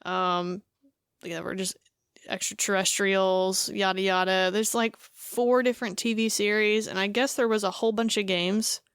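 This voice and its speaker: A man co-hosting a casual podcast, thinking aloud with hesitant pauses and fillers. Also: high voice, level tone, fairly bright tone, clean tone, no room sound, studio quality. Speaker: podcast co-host. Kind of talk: casual chat.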